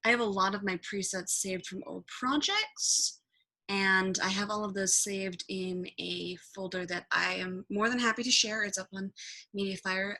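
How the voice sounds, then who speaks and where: A woman presenting a software tutorial in an informal, rambling way, with offhand asides. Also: mid-range voice, rough recording. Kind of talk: casual screen-recording software tutorial.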